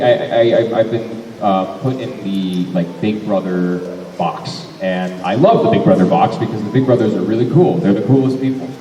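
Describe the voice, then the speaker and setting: A young man and voice actor chats at a fan convention Q&A, rambling and correcting himself as he goes. His voice is mellow and a little low. It comes from far off in a big hall with a long, echoing tail. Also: lo-fi sound. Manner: rambling, self-correcting, laughs often. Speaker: voice actor panel guest. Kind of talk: convention Q&A panel talk. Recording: echoey hall, mic from far off, faint noise.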